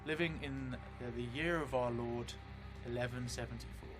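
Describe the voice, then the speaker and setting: A man's voice: mid-range voice, fairly even intonation, normal speed. Man narrating over prominent music. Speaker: solo role-playing game streamer. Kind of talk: improvised first-person tabletop game narration. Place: small indoor room, seated at a desk. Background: background music.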